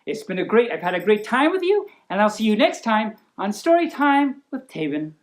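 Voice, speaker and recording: A woman's voice: mid-range voice, sing-song, normal speed. A woman hosting a storytime, reading aloud in a playful, mock-serious manner with chatty asides and stumbles over long words. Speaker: storytime show host.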